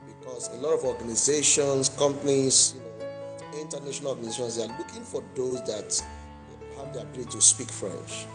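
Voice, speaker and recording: A Nigerian man hosting an interview show, speaking in a measured way and repeating and rephrasing his words. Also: mid-range voice, fairly even intonation, music in the background. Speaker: host.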